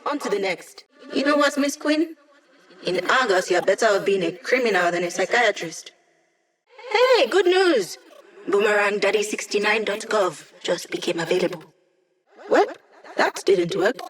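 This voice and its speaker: A woman's voice: medium pitch, lightly acted. An actress voicing a cocky, playful young female hacker who guides a squad in a video game, with a teasing, breezy manner. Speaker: hacker and mission support. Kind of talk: video game character voice lines.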